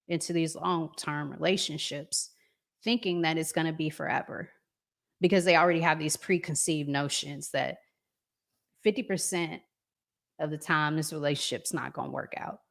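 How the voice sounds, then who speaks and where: An American woman hosting a relationship talk show, chatting to viewers and giving advice in an earnest, emphatic, deliberate way with pauses. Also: low-pitched, creaky, a bit bright. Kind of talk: live chat to viewers, reading comments, giving advice.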